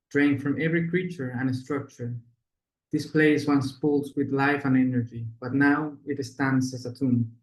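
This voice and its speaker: A man's voice: medium pitch, echoey room, poor audio. Man presenting. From Ecuador. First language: Spanish. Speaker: student presenter, product designer. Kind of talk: project presentation, moving into scripted narration.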